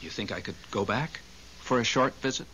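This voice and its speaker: A man's voice: lowish voice, normal speed, bright, forceful tone. A man acting in a 1970s radio drama as the earnest, flustered spirit of a newly dead husband, eager and stammering. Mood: earnest, troubled. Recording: dull, hissy old radio recording.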